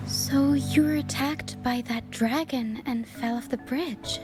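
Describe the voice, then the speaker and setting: A gentle young girl from a video game, speaks in a high voice over prominent music. She is soft-spoken, earnest and quietly brave, with a playful streak. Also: fairly even intonation, slow. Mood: calm, friendly. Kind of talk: video game cutscene voice acting.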